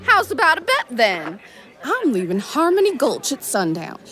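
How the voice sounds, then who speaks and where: A woman's voice: high-pitched, wildly swooping pitch, normal speed, over music. A woman playing a bold, blunt Old West gunslinger, forthright and challenging, in an audio drama. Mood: firm, challenging.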